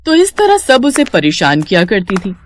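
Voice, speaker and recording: A woman's voice: mid-range voice, wildly swooping pitch, moderate pace, slight background noise. A woman narrating a scripted moral story as a storyteller.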